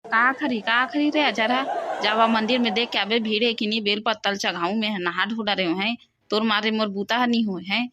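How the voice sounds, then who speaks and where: A woman's voice: fairly high pitch, steady tone, normal speed, highs cut off, a crowd in the background, noisy background, lightly acted. A woman voicing an exasperated village aunt in a comedy cartoon, scolding and complaining in a Chhattisgarhi accent.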